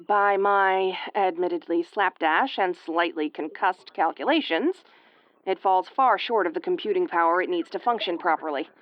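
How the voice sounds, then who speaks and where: A woman's voice: on the low side, moderate pace, no room sound, muffled recording, close to an everyday voice. A woman playing a sardonic, sweary tomboyish young starship commander in an audio roleplay, stammering when rattled but secretly tender. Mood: weary, wry.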